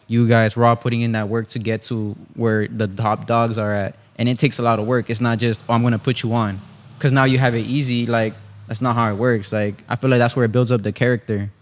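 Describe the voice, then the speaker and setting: A man in his early twenties talking casually as a podcast guest, slangy and often checking that he is understood. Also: medium pitch. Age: 20s. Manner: slangy, repeats "you know what I mean" and "like". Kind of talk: casual chat.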